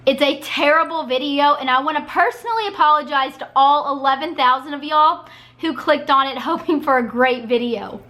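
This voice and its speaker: A young woman, talking in a high, bright voice that sounds hoarse and a little nasal from a cold. She chats casually and pokes fun at herself as a YouTuber reacting to her old video. Her tone is clear and forward. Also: normal speed. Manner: self-mocking, chatty.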